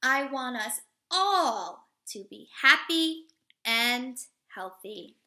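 Bright, lively woman reading to children. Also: sits high, extremely slow. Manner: expressive, instructive, addressing young children. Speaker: teacher. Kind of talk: children's picture book read-aloud. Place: living room at home, indoors.

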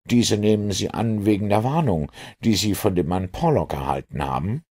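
A man voicing a practical, impatient male police inspector with brisk, matter-of-fact delivery in an audiobook. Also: fairly low pitch, animated, a little mellow, slightly rough. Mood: practical, insistent. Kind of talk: audiobook character dialogue.

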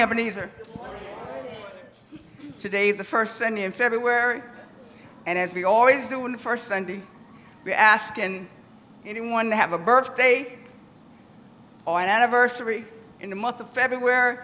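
A woman's voice: a little high, normal speed, long pauses, pushed and bright, a little hiss or hum. A middle-aged woman making chatty, teasing announcements to a church congregation on a handheld mic in a large sanctuary. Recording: reverberant church hall with music.

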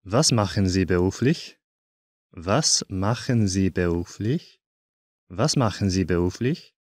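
A man teaching a language course, saying each short phrase twice in slow, careful drills. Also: deep voice, animated, a little mellow, dry recording, clean studio sound.

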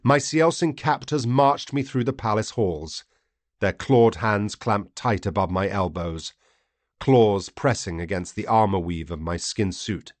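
A man narrates dramatic fiction in a low voice. His delivery is grave, theatrical and deliberate, and the recording is dry and close. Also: dry recording. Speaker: audiobook narrator. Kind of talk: dramatic first-person scripted narration.

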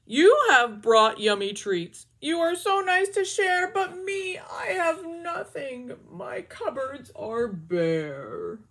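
A woman voicing a sad male bear in a storybook, slow and moaning, close to tears. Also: high-pitched, expressive, fairly bright tone, pitched above the performer's own voice, full character voice. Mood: sorrowful.

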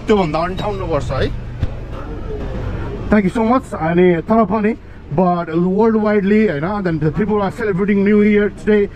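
A man from Nepal with a Nepali accent, vlogging on a city street at night, switching fast between English and Nepali and tagging many questions. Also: sits high, darkish tone, poor audio. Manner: rapid mix of English and Nepali, frequent tag questions. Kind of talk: casual vlog talk to camera.

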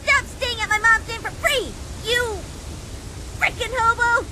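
A woman voicing an angry, bratty little rabbit child in a comic dub, shrill and accusing. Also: measured pace, low-quality recording. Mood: angry, tearful. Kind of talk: animated comic dub acting. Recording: loud noise behind the voice.

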